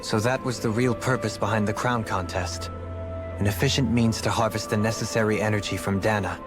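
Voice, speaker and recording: A man's voice: lowish voice, fairly even intonation, a little fast, over music, natural voice. A man playing a grave, analytical adventurer in a video game cutscene, speaking seriously.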